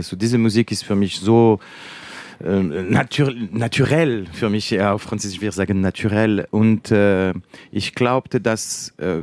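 A man from France with a French accent, a conductor giving an interview, speaking slowly and hesitantly while searching for words. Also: lowish voice, a little mellow, no echo. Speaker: orchestra conductor. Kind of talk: interview in French, halting German and English.

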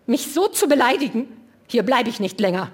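A woman voicing an easily offended widow who turns sharp and tearful when insulted. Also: on the high side, big pitch swings, brisk, breathy edge, voice pushed up. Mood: indignant. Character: indignant elderly widow, touchy and tearful.

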